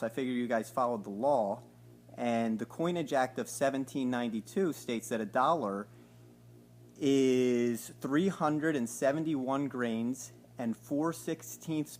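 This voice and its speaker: A man in his forties at home, asking slow, hesitant, mock-innocent questions on a recorded phone call. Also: medium pitch. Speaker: caller. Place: home living room by a window.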